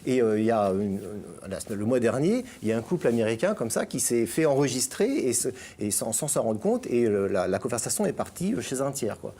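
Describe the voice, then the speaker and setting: A man's voice: mid-range voice, very animated, fairly quick, few pauses, dark tone. A middle-aged man from France, talking as a guest columnist in a radio chat, hesitant with many fillers and restarts. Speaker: guest columnist on digital topics.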